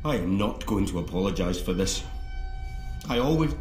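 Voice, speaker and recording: A man's voice: sits low, expressive, normal speed, darkish tone. An actor playing a distraught, accusing young man in an audio drama, insistent and confrontational. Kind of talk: audio fiction drama acting. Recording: roomy sound with music behind.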